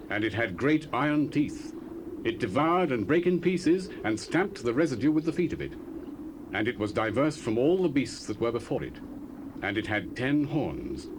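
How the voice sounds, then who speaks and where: An actor playing a devout, faithful prophet, declaiming visions solemnly in a 1970s dramatized audio drama, from a hissy cassette tape. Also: male voice, mid-range voice, close to an everyday voice.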